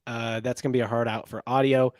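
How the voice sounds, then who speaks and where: Guy hosting a sports podcast, middle pitch, rambly, lots of uhs, dry close mic. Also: little pitch movement, fast talker, a little mellow, no room sound. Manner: loose, rambling delivery with many fillers and restarts. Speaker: podcast host. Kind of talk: casual sports podcast chat.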